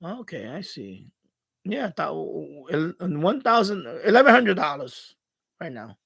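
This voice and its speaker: A middle-aged man hosting a casual live stream, rambling and thinking aloud in a halting way. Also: fairly high pitch, sing-song, creaky, a bit bright, husky.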